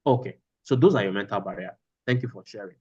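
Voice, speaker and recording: A man's voice: on the low side, fast talker, mellow, husky, highs cut off. A man teaching a class over a video call, repeating his phrases for emphasis. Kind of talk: online class lecture.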